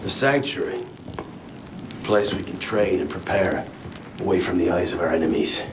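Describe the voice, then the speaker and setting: A man acting as a gruff, insulting assassin mentor in a video game. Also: deep voice, fairly even intonation, normal speed, lightly acted. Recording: clear background ambient noise.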